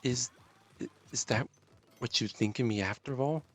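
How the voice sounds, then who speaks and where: A man voicing a wounded, pleading young woman in a dramatic story reading, speaking in an earnest, halting and emotional way. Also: mid-range voice, lightly acted. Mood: hurt, shaken.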